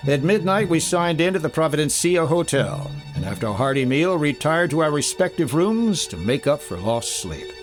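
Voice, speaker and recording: Radio investigator, male actor: wry, hardboiled, slightly high voice, lively swings in pitch, music underneath. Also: normal speed, hardly any pauses. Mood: wry, suspicious. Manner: wry, clipped, hardboiled storytelling. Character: wry, breezy male freelance insurance investigator. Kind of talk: 1950s radio detective narration and acting.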